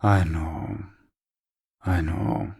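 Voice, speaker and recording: A man acts out a lovestruck villain in a romantic audio roleplay. His voice is very low and full of gravelly fry, and he takes his time with every line. He sounds smug and teasing, yet tender, as he courts the listener up close. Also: darkish tone, exaggerated voice. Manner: slow, murmuring, teasing. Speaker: voice actor.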